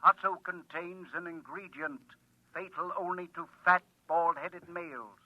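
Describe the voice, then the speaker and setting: A man's voice: sits high, steady tone, unhurried, bright, forceful tone, no room sound, heavily acted. A man playing a talking advice machine in an old radio drama, precise and impersonal like an announcer, through a small speaker. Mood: impersonal, matter-of-fact. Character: talking machine coolly dispensing deadly advice. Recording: dry, muffled old radio recording.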